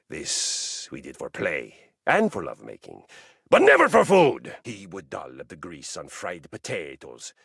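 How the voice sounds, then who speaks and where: A boastful Norse warrior who is really a piece of exercise equipment in a video game, with a Norwegian accent and a pompous, theatrical manner. His gravelly, husky voice leaps wildly between low rumbles and high flourishes. The sound is dry and close. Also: fairly bright tone, dry recording, exaggerated voice. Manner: pompous, theatrical swings in pitch. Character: boastful Norse-style male exercise machine, honor-obsessed warrior. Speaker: dating game character.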